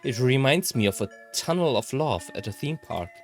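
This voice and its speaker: A narrator reading a first-person visual novel story aloud in a measured delivery. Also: mid-range voice, very animated, soft background music.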